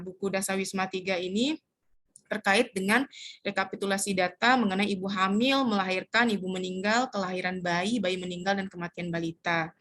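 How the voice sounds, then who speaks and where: A woman explaining step by step how to fill in a community household form, pausing often to search for words. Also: medium pitch, fairly bright tone. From Indonesia. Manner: hesitant, searches for words with many fillers. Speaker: community trainer.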